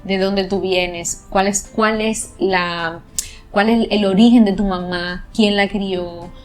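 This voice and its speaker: A woman's voice: mid-range voice, steady tone, slow, soft background music. A woman sex educator, a guest on a talk, explaining her ideas in long, flowing, emphatic sentences. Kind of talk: conversational panel talk.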